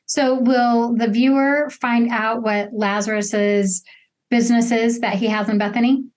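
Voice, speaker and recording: A woman's voice: on the high side, steady tone, unhurried, darkish tone. A woman hosting a conversational interview, asking questions and commenting. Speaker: interview host.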